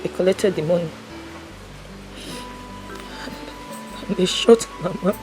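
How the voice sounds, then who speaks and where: An actress playing a grieving woman who tells her story in a halting, sorrowful way. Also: lowish voice, music in the background, natural voice, rough recording. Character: grieving woman recounting a robbery.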